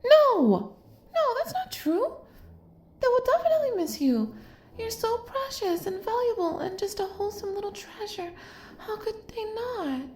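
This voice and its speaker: A woman's voice: very high-pitched, sing-song, a little slow, reverberant, a little hiss or hum, exaggerated voice. A woman playing an obsessive, lovestruck kidnapper in an intimate audio roleplay, doting and possessive, coaxing the listener with hesitant asides. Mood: insistent, adoring. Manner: soft, coaxing, hesitant asides, drops into whispers.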